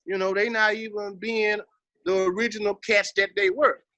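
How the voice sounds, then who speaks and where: A man's voice: sits high, little pitch movement, moderate pace, bright, forceful tone, no echo, muffled recording. A man with a Texas Southern accent talking casually in an interview, emphatic and full of slang and swearing. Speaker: interview guest, hip-hop veteran.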